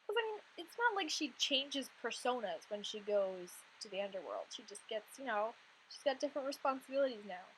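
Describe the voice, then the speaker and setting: A young woman in her twenties, slightly hoarse from losing her voice, chatting casually over gameplay in a rambling, filler-heavy way. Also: fairly high pitch, very animated, brisk, a little hiss or hum, poor audio. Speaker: Sims content creator.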